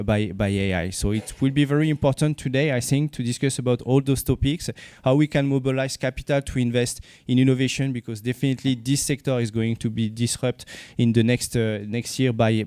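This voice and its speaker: A man with a French accent presents at a conference, pausing often and restarting his sentences. His voice is smooth and resonant, and the sound is dry and close. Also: mid-range voice, fairly even intonation, darkish tone, dry recording. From France. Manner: halting, many fillers and restarts. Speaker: conference speaker, company CEO. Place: conference venue.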